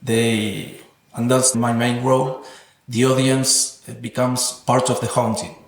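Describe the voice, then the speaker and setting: A man's voice: medium pitch, flat delivery, slow. A man giving earnest, reflective interview answers as a guest artist, thoughtful and philosophical, with slightly non-native English phrasing. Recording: roomy echoing sound.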